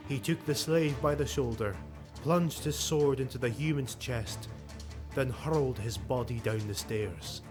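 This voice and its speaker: A man narrates a dark fantasy audiobook in a dramatic, scripted style. His voice is mid-pitched, and loud music plays behind it. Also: unhurried.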